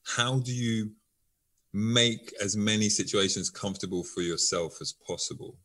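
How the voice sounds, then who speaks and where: Brief: male podcast guest, lowish voice, keen coaching manner, explains with examples. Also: moderate pace, fairly bright tone. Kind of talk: conversational interview advice.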